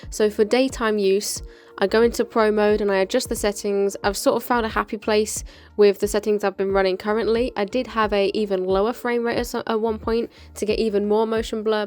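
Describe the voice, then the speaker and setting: British woman vlogger, chatty, over music. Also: medium pitch. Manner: chatty, informal, practical. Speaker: motorcycle vlogger presenting. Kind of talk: casual explainer of a gear setup.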